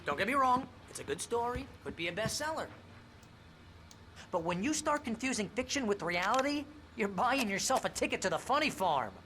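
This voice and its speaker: An actor playing a brash, exasperated literary agent with a pushy, wisecracking manner in a video game. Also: male voice, high voice, very animated, a little fast, pushed and bright, slight background noise, exaggerated voice. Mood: incredulous. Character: brash, fussy, exasperated male literary agent, loyal friend.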